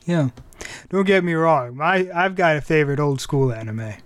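A man's voice: a little high, expressive, moderate pace, no echo. A man hosting a casual music and anime podcast, chatting in an enthusiastic, rambling way. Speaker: podcast host.

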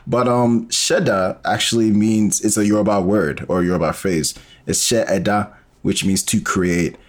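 Very deep-voiced man, casual interview guest. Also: steady tone, moderate pace. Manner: informal, thinks aloud in loose rambling explanations. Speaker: interview guest, sci-fi audio storyteller.

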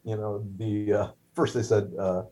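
Middle-aged guy, hebrew native, husky kinda low voice, lots of uhs and restarts, wry jokes. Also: flat delivery, warm tone. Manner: halting, many fillers and restarts, wry humor. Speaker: guest, stroke survivor. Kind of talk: casual conversational storytelling.

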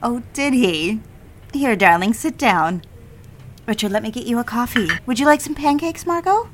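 A woman's voice: a little high, expressive, moderate pace, background noise, natural voice. A woman playing an anxious, submissive lover in an audio drama, with a pleading, yielding manner. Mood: flustered. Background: music.

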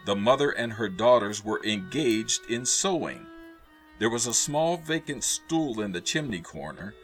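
A man's voice: lowish voice, sing-song, a little slow. A man narrating a radio play as a scripted dramatic storyteller, with music behind him.

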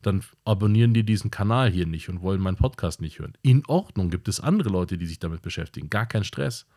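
A man's voice: deep voice, expressive, quick pace, a little mellow. A man hosting his own podcast, talking in a casual monologue that is blunt and direct and repeats points for emphasis. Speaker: podcast host.

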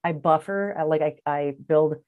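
A woman's voice: sits low, normal speed, phone-quality sound. A woman chatting casually and candidly, laughing easily and sometimes trailing off. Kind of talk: casual chat.

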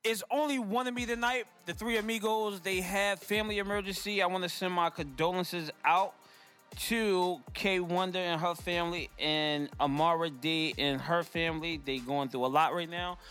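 A man's voice: high voice, steady tone, normal speed, a bit bright, clean tone, faint music behind. A man hosting a radio show, interviewing a guest with upbeat, slangy, brief questions.

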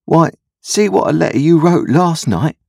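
A kindly country blacksmith as acted out by a male storybook reader, reasoning things out earnestly in homespun, ungrammatical speech. His voice is slightly rough and swings widely in pitch, recorded dry and close. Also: lively intonation, normal speed, darkish tone, no echo, exaggerated voice. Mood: reassuring, reflective. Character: kindly, slow-reasoning uneducated country blacksmith, adult man.

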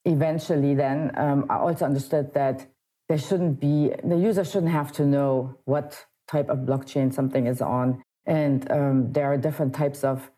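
A woman in her thirties from Germany, answering interview questions in a measured way with frequent pauses. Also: a bass voice, fairly even intonation, mellow. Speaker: crypto wallet co-founder, guest.

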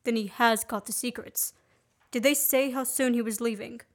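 Woman as male fugitive, impatient, brisk, fairly high voice, amateur radio play. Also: steady tone, lightly acted. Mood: brisk, guarded. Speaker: student actor.

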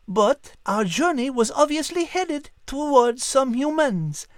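A woman's voice: high voice, lively intonation, slow pace, no echo, studio quality. A woman voicing a curious, refined female animal with a French accent, polite and precise. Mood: thoughtful.

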